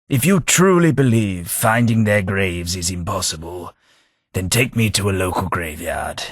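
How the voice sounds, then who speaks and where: A man voicing a genteel gentleman, husky. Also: low-pitched, dry recording, clean studio sound. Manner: formal, polite, measured diction. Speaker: game companion. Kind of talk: game voice acting.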